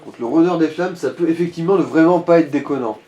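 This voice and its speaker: A man's voice: fairly high pitch, quick pace, mellow, roomy sound, highs cut off. A man giving casual game advice in an informal, crude-mouthed way. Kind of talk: casual gaming chat.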